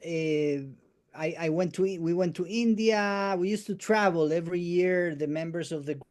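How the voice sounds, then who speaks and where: Man, middle-aged, from Argentina, a bit high-pitched, halting speech, video call. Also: darkish tone. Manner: halting, many restarts and "eh" fillers. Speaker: guest musician and storyteller. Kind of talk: casual conversation, life story.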